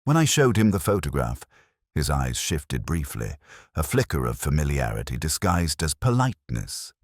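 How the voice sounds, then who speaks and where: A man reading a first-person mystery story in a measured, reflective way. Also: rumbling low, very animated, no echo, studio quality. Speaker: narrator.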